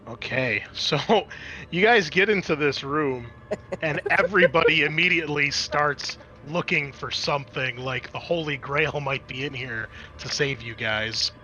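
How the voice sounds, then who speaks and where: A man's voice: on the high side, measured pace, bright, forceful tone, muffled recording. A man narrating a tabletop roleplaying game as game master in a casual, conversational way, swearing freely.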